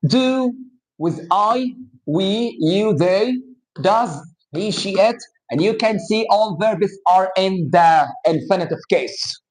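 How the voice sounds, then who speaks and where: A man with an Egyptian accent, a native Arabic speaker, teaching English grammar in a live class. His voice sits high for a man, and he talks slowly and plainly, spelling out letters and rules for his students. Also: animated, poor audio. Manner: slow, explanatory, switches into Arabic to explain.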